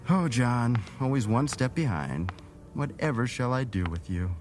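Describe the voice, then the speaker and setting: An actor playing a scheming city councilman who feigns friendliness in a smooth, theatrical audio drama style. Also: medium pitch, lively intonation, measured pace, over loud music, natural voice. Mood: gloating.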